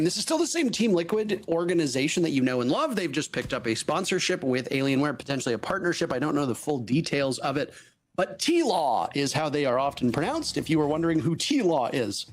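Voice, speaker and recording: A man from Canada commentating live esports, teasing his co-caster and then building to excited hype. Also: a little high, a little hiss or hum.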